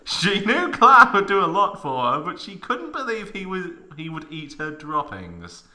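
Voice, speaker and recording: Male narrator for comic fanfic reading: sharp, forceful tone, hugely swinging pitch, hammy emphasis. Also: wildly swooping pitch, a little slow. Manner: theatrical, exaggerated emphasis. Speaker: narrator of a fan fiction reading. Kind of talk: mock dramatic reading with comic asides.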